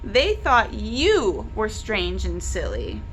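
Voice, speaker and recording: A woman hosting a library book club, reading a children's storybook aloud with deliberate, animated emphasis. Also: mid-range voice, slight background noise.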